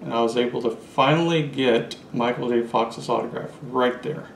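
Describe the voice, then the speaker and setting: A man's voice: mid-range voice, fairly even intonation, moderate pace, gravelly. Chatty man showing off collectibles. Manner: chatty, enthusiastic, holds items up to camera. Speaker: collector vlogger. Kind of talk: casual show-and-tell vlog. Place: small indoor room with curtains. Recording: roomy echo, faint room hiss.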